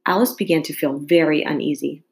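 A woman reading a classic children's story aloud as an expressive narrator. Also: sits low, brisk, vocal fry. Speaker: storybook reader.

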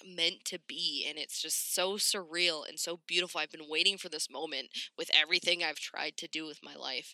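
A woman talks casually and frankly, as a podcast host would, with slang and swearing. Her voice is very bright and forward, with a slight rasp and the congestion of a cold. The sound is dry, with hardly any room on it. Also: deep voice, no echo, low-quality recording. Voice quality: slightly raspy. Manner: candid, informal, sweary, slangy. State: congested, getting over a cold. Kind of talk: casual solo podcast talk.

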